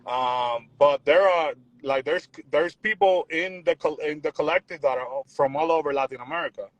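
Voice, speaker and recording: A young man from Puerto Rico, talking in a relaxed, rambling way as a musician guest on a video call. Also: a little high, normal speed, dry recording, muffled recording. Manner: relaxed, rambling, repeats words, says "you know what I'm saying". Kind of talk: casual interview chat.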